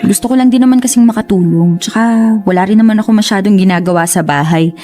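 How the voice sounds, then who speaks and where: An actress with a Filipino accent playing a frustrated young housewife who longs for independence, in a Tagalog radio drama. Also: female voice, mid-range voice, fast talker, mellow, close to an everyday voice. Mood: earnest, wistful. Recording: music under the voice.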